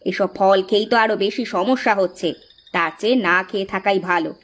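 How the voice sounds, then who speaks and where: A woman's voice: mid-range voice, fast talker, close to an everyday voice. A woman voicing a stubborn, disapproving village wife in a Bengali cartoon folk tale.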